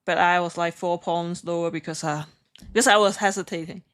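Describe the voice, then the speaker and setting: A woman speaking as a live stream trading host, chatting casually in a giggly, hesitant, halting way. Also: lowish voice, steady tone, fairly bright tone. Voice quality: non-native English.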